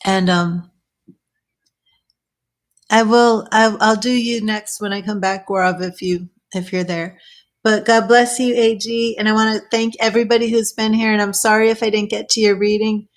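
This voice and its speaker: A woman reading tarot cards on a live stream. She chats casually and thinks aloud, and her voice is getting worn and a little hoarse so she keeps clearing her throat. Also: mid-range voice, fairly even intonation, normal speed. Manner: chatty, thinking aloud, with small laughs. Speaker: tarot reader.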